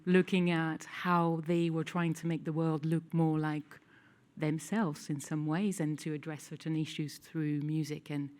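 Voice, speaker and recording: A woman in her thirties from France, with a French accent, speaking on a panel in a measured, thoughtful way. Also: on the low side, darkish tone. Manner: measured, thoughtful, lots of "you know". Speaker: journalist and lecturer. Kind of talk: panel discussion. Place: small event hall with audience. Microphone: table mic.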